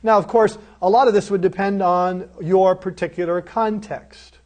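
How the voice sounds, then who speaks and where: A man's voice: sits high, steady tone, moderate pace, a little mellow. A man giving a lecture on preaching. He talks in an earnest, teacherly way and often asks the listeners rhetorical questions. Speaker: seminary lecturer.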